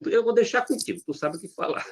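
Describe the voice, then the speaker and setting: Casual middle-aged teacher host. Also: male voice, sits high, lively intonation, fairly quick, darkish tone. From Brazil, Maranhão. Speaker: teacher hosting the session. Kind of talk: casual presenting.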